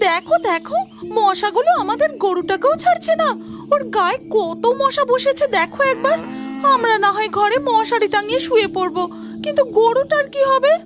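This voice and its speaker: A woman's voice: very high voice, expressive, normal speed, music in the background, voice pushed up. A woman voicing an anxious, nagging village housewife in a children's cartoon, fretful and coaxing. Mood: concerned.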